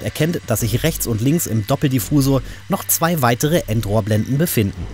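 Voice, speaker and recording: A man with a German accent reading a scripted, brisk and technical car-review voice-over. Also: medium pitch. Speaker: car magazine narrator. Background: music.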